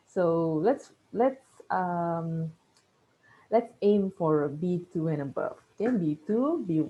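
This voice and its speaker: Lecturing woman with a mellow, dull-sounding voice. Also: fairly low pitch. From Malaysia. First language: Kayan. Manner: explanatory, checks in with "okay?" often. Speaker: university English lecturer. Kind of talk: classroom lecture.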